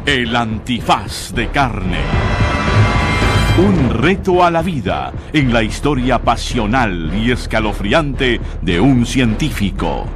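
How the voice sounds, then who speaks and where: A man's voice: medium pitch, big pitch swings, takes it slowly. A man announcing a radio mystery serial. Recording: loud music under the announcement.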